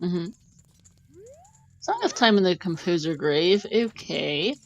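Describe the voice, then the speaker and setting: A woman doing casual live commentary on a game tournament, chatty and quick to laugh as she reads out clues. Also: lowish voice, lively intonation, highs cut off.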